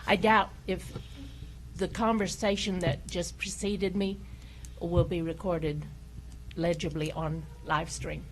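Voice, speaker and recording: A woman's voice: lowish voice, little pitch movement, slow. A woman, a local resident, speaking politely and directly into a podium microphone at a council meeting. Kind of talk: public comment at a meeting. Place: city council chamber. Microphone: podium microphone on a stand. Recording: noisy council meeting recording.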